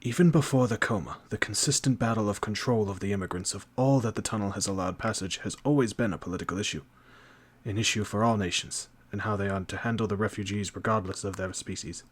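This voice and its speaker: Guy playing a by-the-book knight in a fantasy audio rp, raspy lowish voice, formal n earnest. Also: fast. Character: dutiful, rule-following knight officer. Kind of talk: fantasy audio drama acting.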